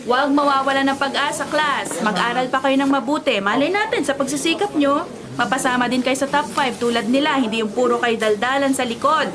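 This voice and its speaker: A woman's voice: sits high, brisk, a crowd in the background, close to an everyday voice. A woman with a Filipino accent playing a caring, orderly schoolteacher in a radio drama, speaking in an instructive way. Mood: announcing, firm. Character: caring, orderly female schoolteacher of young children. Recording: clearly noisy background ambience.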